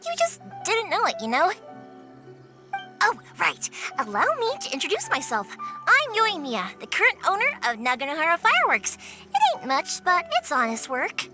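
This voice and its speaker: A woman's voice: very high-pitched, wildly swooping pitch, moderate pace, full character voice. A woman voicing a cheerful, spirited young fireworks maker in an anime game, friendly and brave, with a breezy, colloquial manner. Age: young adult. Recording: music behind.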